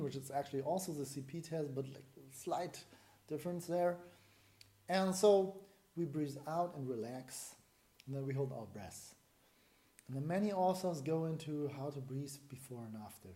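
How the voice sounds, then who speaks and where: A man's voice: fairly high pitch, dark tone. A man in his forties with a German accent, a breathing coach explaining a method to camera in a small room, thinking aloud with restarts and fillers. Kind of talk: explanatory video lesson to camera. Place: small indoor room at home.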